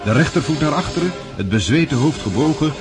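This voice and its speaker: A man's voice: a little high, expressive, fast. A man narrating a radio play in scripted, dramatic storytelling style. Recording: music behind the voice.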